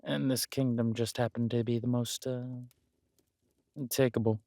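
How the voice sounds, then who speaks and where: A man playing a scheming, aristocratic villain husband in an intimate roleplay audio drama, suave and deliberate, slipping into breathy near-whispers. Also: mid-range voice, level tone, a little mellow, dry recording, clean studio sound. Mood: smug, musing. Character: smooth, scheming villain husband, usurper of a kingdom.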